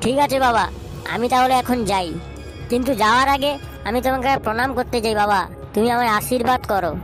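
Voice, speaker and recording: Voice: mid-range voice, fast, a bit bright, faint music behind, lightly acted. A performer voicing a cheeky, foul-mouthed young male slacker in a comic cartoon, brash and whiny.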